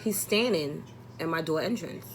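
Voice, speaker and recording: A woman's voice: low voice, normal speed, a little hiss or hum. A young adult woman telling a personal story casually, exasperated and blunt, acting out dialogue and swearing often.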